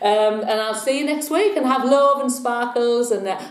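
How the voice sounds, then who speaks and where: A woman's voice: a little high, normal speed. A woman hosting a vlog, chatting playfully and telling jokes. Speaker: vlog host. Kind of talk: casual chat and joke telling. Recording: roomy echo.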